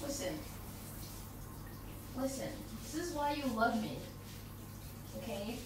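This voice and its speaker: A woman doing an intimate girlfriend roleplay in casual one-sided chat, playful and teasing, often halting and repeating words. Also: a little high, lively intonation, far from the mic. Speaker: girlfriend roleplay audio performer. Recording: very echoey bathroom-like sound, off-mic, background water noise.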